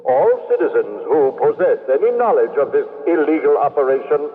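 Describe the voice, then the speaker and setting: Echoing, officious state machine. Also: male voice, fairly high pitch, big pitch swings, a little fast. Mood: stern announcing. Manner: formal, clipped, procedural announcements. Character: official automated state machine announcer, male, coldly formal. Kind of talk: 1980s radio drama acting. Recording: muffled phone-like old radio recording, long reverb.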